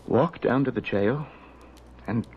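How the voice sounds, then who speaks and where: An actor playing a timid, guilt-ridden young colonial man in radio melodrama, halting and anguished, repeating himself. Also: mid-range voice, a little hiss or hum, lightly acted. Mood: broken, resigned. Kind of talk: melodramatic radio drama acting. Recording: dull 1970s radio drama recording.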